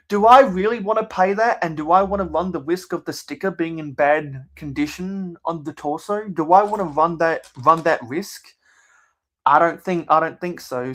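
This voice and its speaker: A young man with a mild Australian accent, chatting casually as a channel host in a measured, halting way that circles back on his phrases. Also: a little high, a bit bright. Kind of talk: casual livestream chat.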